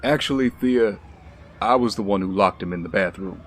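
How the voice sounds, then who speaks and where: A man playing a steady, loyal ex-soldier bodyguard in an audio drama, calm and measured. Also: lowish voice, a little hiss or hum, lightly acted. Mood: modest, reassuring.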